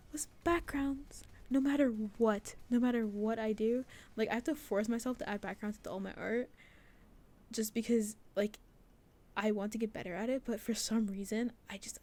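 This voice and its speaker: A woman's voice: a little high, warm tone. A young woman giving a casual, rambling voice-over about her own artwork in a self-deprecating, giggly way, peppered with "like.". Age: young adult. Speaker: artist and game maker narrating a devlog.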